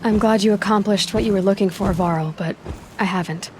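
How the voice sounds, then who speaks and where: A woman's voice: mid-range voice, a little fast, a little mellow, a little hiss or hum, natural voice. An actress playing a determined young woman hunter in a video game, speaking firmly and directly. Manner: firm and direct. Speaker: protagonist. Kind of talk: game cutscene acting. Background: game score.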